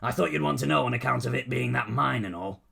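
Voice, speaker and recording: A man voicing a gruff, brash, boozy middle-aged uncle in an audiobook comedy, with a raspy, gravelly voice and blunt, slangy talk. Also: medium pitch, fast talker, sharp tone, heavily acted. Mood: aggrieved, shaken.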